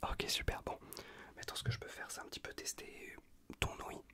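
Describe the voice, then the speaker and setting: A man performs an ASMR examination roleplay entirely in a breathy whisper. He gives casual, colloquial instructions and soothes with gently repeated words. The voice sits close to the microphone, airy and unvoiced. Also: a little fast. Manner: casual, colloquial, reassuring instructions with soft repetition. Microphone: close USB condenser microphone.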